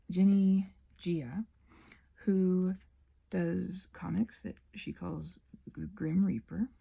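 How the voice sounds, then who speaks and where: A woman chatting in a rambling, halting ASMR style, soft-spoken and often slipping into whispers. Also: mid-range voice, gravelly, slightly rough. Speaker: ASMR creator rambling while painting a craft project. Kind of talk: ASMR rambling casual chat.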